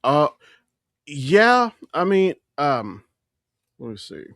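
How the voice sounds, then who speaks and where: A man's voice: on the high side, sing-song, very slow, fairly bright tone, no echo, studio quality. A man co-hosting a podcast in casual pop-culture chat, emphatic and opinionated, swearing freely. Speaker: podcast co-host.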